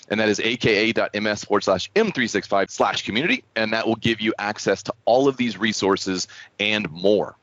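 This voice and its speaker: A man's voice: fairly low pitch, fairly bright tone. A man hosting an online developer community call, presenting in an upbeat, encouraging and enthusiastic way. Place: indoors, online video call. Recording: muffled, roomy online call audio.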